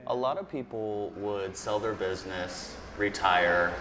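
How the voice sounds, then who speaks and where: A man's voice: on the low side, a little slow, reverberant, noisy background. A male host giving earnest, reflective documentary narration and asking interview questions.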